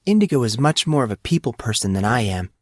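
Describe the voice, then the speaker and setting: A man's voice: mid-range voice, big pitch swings, fast, no echo, clean studio sound. Man voicing a wry, mysterious teenage girl. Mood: matter-of-fact. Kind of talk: audiobook character dialogue.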